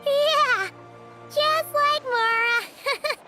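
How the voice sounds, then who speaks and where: A tiny, nosy fairy sidekick from a game, extremely high and squeaky. Her cute childlike words are drawn out very slowly over music. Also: exaggerated voice. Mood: curious, dry. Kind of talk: scripted video game acting.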